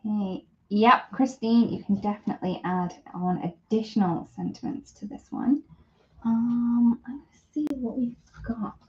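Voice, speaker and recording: A woman's voice: fairly high pitch, moderate pace, dull audio. A woman hosting a live craft tutorial in casual chat, thinking aloud as she works, with a self-deprecating streak. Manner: chatty, thinking aloud while working. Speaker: host of a live papercraft demonstration.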